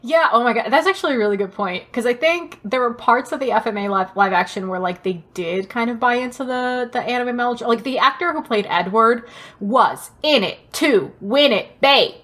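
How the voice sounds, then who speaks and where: High-voiced, emphatic woman chatting. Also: fairly quick. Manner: animated storytelling, emphatic and sweary. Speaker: podcast co-host. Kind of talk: casual pop-culture chat.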